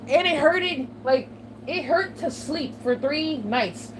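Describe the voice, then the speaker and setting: A woman's voice: sits high, slow. A woman vlogger chatting casually to her viewers, swearing often and laughing between remarks. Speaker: channel host. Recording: steady background noise.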